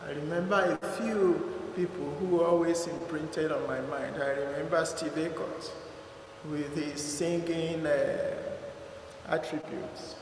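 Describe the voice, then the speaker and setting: A man with a Nigerian accent giving an unhurried, conversational reflection at a church service, with fillers as he remembers. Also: fairly high pitch, fairly even intonation. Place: large church hall. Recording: echoey church hall, faint noise.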